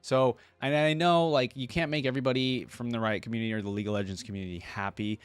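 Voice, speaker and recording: A man's voice: medium pitch, steady tone, fast talker, clean tone, faint music behind. A man giving casual reaction commentary as host, talking about a game character's voice lines.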